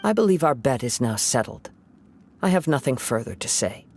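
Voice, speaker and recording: A young wandering samurai, voiced by a man, speaks in a calm, measured way. His mid-pitched voice has a gravelly edge, and his words are steady and thoughtful.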